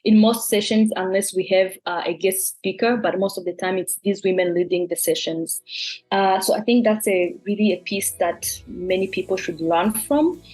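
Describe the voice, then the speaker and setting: A woman's voice: medium pitch, moderate pace, darkish tone, soft background music, low-quality recording. A young adult woman from Rwanda, a program consultant speaking thoughtfully to camera, with frequent fillers and restarts.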